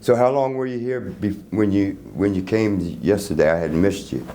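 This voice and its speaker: Low, mellow middle-aged man, halting. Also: animated. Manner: halting, repeats words as he explains. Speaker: loudspeaker creator being interviewed. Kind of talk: casual product explanation. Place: hotel room at an audio show. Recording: noisy room ambience.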